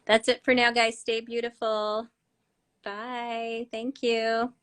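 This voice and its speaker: A woman's voice: fairly high pitch, level tone, slow, a bit bright, clean tone. A woman, a doctor hosting a live online interview over a video call, bubbly and enthusiastic. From United States. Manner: bubbly, enthusiastic, laughs often. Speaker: host doctor.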